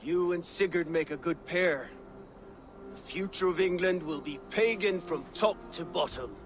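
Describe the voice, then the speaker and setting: A man's voice: fairly high pitch, a little slow, a little hiss or hum, lightly acted. An actor playing a proud, confident Viking chieftain man in a video game cutscene. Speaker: game character.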